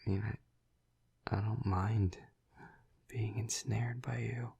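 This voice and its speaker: A man playing a tormented, tender vampire lover in an intimate audio roleplay, halting and stammering in a breathy, husky half-whisper. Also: lowish voice, level tone, dark tone, heavily acted. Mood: anguished, smitten.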